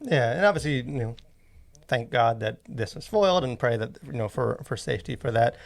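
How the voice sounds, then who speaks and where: Rambling male podcast host with a creaky voice. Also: mid-range voice, animated, a little fast. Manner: rambling, filler-heavy, frequent "like". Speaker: podcast host and movie reviewer. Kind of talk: casual conversational podcast talk.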